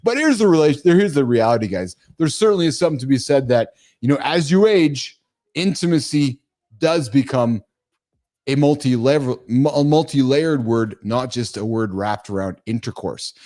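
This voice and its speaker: A middle-aged man hosting a live morning talk show, speaking casually and with animation, often addressing his listeners as guys. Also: normal speed. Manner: animated, calls listeners guys, jumps in pitch.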